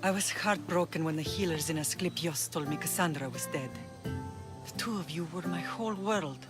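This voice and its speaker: Actress as a commanding ancient Greek matriarch, music behind. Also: female voice, fairly low pitch, normal speed, natural voice. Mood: sorrowful. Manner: firm, authoritative. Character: commanding ancient Greek woman leader, long-lost mother. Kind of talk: video game cutscene acting. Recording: orchestral game music behind.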